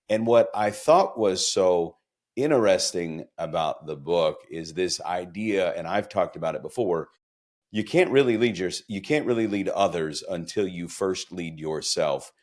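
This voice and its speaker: A man hosting a podcast interview, chatty and enthusiastic, asking long winding questions. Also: sits low. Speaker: podcast host.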